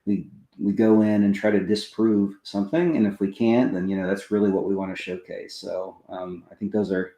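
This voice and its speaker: A man's voice: sits low, flat delivery, moderate pace, mellow, echoey room. A man from Oklahoma, a podcast guest, talking in a laid-back way with lots of fillers. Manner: laid-back, many fillers and short agreements. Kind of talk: casual interview chat.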